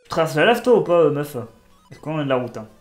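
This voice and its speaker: A man's voice: on the high side, big pitch swings, quick pace, echoey room, faint music behind. A man with a cold commenting casually on a video game as he plays, joking and talking to himself and the characters. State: has a cold. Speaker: gaming YouTuber. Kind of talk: casual let's-play commentary.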